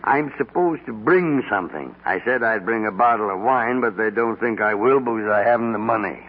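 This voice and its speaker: A man's voice: lowish voice, a little fast, lightly acted. A man playing a scheming, blustery old tycoon who stammers over repeated words in a 1940s radio comedy. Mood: smug, scheming. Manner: blustery, hesitant repetitions, chuckling. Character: cunning, rich old male tycoon, grumbly and scheming. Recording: muffled, noisy 1940s radio broadcast.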